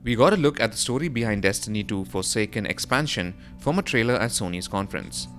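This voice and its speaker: A man's voice: lowish voice, expressive, fast, fairly bright tone. A man reading a scripted voice-over for a gaming news roundup, in the role of presenter. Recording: music behind the voice. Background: background music.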